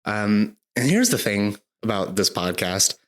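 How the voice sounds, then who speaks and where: A man in his thirties with a low voice chats casually as a podcast co-host. He rambles with plenty of fillers and restarts, and his throat still sounds a little rough. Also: very animated, a little slow. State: slightly hoarse, recovering from illness. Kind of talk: casual chat.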